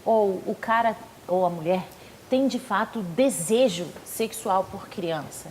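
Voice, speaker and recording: A woman hosting a studio talk show, introducing guests with gushing, effusive praise and quick chatty asides. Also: medium pitch, darkish tone, slight background noise, poor audio.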